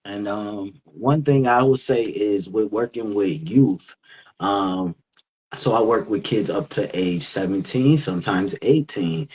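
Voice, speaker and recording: A man's voice: fairly low pitch, takes it slowly, darkish tone, slightly rough. A man speaks as a talk show co-host. His delivery is informal and conversational, like someone sharing anecdotes with a friend. The recording is a touch dull and lo-fi. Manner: informal, conversational, quotes himself. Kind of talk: casual anecdotal chat.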